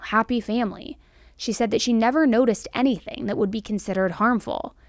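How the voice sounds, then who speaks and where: A woman's voice: mid-range voice, no room sound. True crime host: American female, 30s, mid-range voice, chatty yet emphatic delivery, tight dry podcast recording. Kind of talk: true crime commentary to camera. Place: small indoor studio set. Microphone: close podcast microphone on stand.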